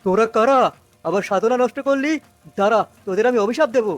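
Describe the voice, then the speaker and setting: A man's voice: extremely high pitch, fast, soft background music. A man playing a wicked, menacing occult sorcerer in a cartoon story, with an imperious, theatrical, incantatory delivery. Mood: furious threatening. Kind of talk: animated cartoon story acting.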